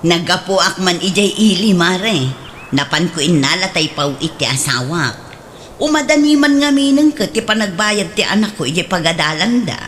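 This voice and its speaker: A woman's voice: on the low side, lively intonation, normal speed, a bit bright. With faint noise behind, a well-off neighbour in a radio comedy. She speaks smugly and boastfully, comparing herself with others. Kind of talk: comedic radio drama acting.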